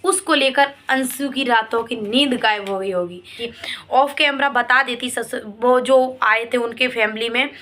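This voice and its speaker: Woman vlogger, high-pitched, sharp bright tone, emphatic, reproachful, opinion commentary to viewers. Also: measured pace. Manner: emphatic, reproachful, repeats points.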